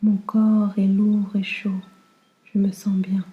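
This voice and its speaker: A woman guiding a slow relaxation meditation in a calm, soothing, soft and breathy voice that often nears a whisper. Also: medium pitch, echoey room, phone-quality sound. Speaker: yoga nidra guide.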